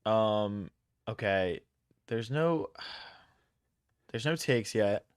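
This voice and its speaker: A man's voice: fairly low pitch, animated, very slow, clear, resonant tone, dry recording, clean studio sound. A young man from Philadelphia, streaming chess and thinking aloud in casual slang as he answers chat. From Philadelphia, USA. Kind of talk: casual live-stream commentary.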